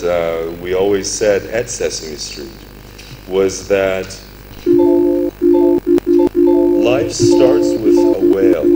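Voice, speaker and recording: A man giving earnest, measured remarks at a conference, stressing his key phrases. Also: low-pitched, rough recording. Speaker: foundation representative giving closing remarks. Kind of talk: formal conference speech. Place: conference event.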